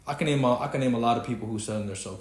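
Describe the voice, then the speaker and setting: A man's voice: mid-range voice, very fast. Preachy young Texan man in an echoey room. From Houston, Texas. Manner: preachy, emphatic, swearing freely. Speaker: podcast host. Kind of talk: casual podcast rant.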